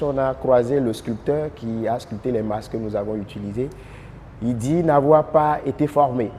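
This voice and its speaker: A man's voice: mid-range voice, warm tone, long reverb tail, slight background noise. A man who collaborates on the project, steadily explaining what the research uncovered. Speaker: artistic collaborator. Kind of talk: interview testimony.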